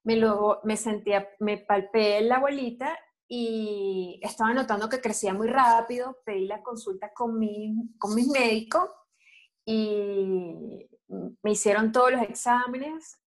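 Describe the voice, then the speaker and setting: A Venezuelan woman in her forties, a guest on an interview, recounting her experience in a steady, storytelling way. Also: fairly high pitch, measured pace. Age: 40s. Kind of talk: video call interview.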